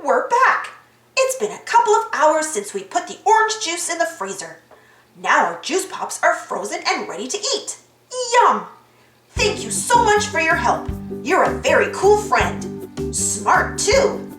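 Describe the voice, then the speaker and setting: A woman reading a children's storybook aloud in an animated, exaggerated, sing-song way for young children. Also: extremely high pitch, a little slow, faint music behind. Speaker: storybook reader. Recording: roomy echo.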